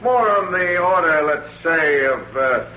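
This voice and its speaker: A shrewd American police captain in a 1940s radio thriller. His voice is forceful and a little high, with folksy, insinuating questions that keep pressing. It comes through a dull old broadcast with faint noise. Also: animated, measured pace, reverberant.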